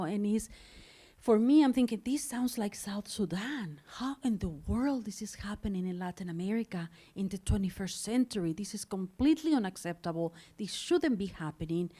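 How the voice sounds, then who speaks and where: A woman's voice: medium pitch, normal speed, mellow. A woman whose first language is Spanish, giving a passionate, opinionated speech at a live event. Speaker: advocate speaker. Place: indoor event venue.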